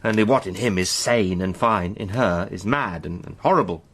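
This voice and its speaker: An actor playing an earnest, impassioned British gentleman spy with a literary turn of speech in a radio drama. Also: on the low side, moderate pace, slightly rough. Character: Sandy, fervent eloquent British gentleman spy.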